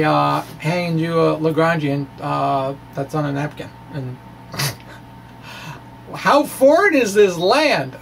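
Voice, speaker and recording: A middle-aged man gives an informal explanatory physics talk in a chatty, halting way, with frequent asides. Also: a little high. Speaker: science blogger presenting a physics lecture. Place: small indoor room with bookshelves. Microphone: handheld-style microphone on a stand in front of him. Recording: clear background noise, room ambience.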